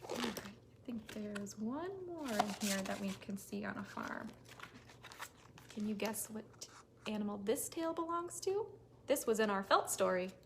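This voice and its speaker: High-voiced woman reading to toddlers, background noise. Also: a bit bright. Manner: sing-song, asks listeners questions. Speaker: children's librarian leading story time. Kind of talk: animated read-aloud for toddlers.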